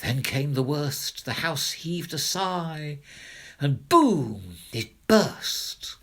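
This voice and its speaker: Male narrator for rhyming children's storybook: theatrical, highly varied pitch, slow pace, slightly husky. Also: expressive, a bit bright. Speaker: narrator, reader of children's poems.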